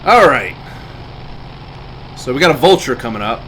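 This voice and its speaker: Casting: easygoing casual male livestreamer, mid-range voice, talking over clear vehicle noise from a game. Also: mid-range voice, very animated, moderate pace, a bit bright, low-quality recording. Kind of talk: casual live gameplay commentary. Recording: game engine noise behind voice. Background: game vehicle sounds.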